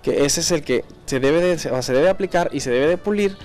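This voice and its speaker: Halting Mexican man explaining, higher-pitched voice. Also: a little mellow. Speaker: auto body paint technician. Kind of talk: informal hands-on explanation.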